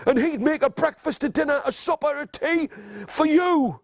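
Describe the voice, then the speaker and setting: A man's voice: extremely high pitch, moderate pace, gravelly, no echo, studio quality, voice pushed up. A man voicing a nervous middle-sized young billy goat in a children's story, hesitant and pleading. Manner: stammering, nervous.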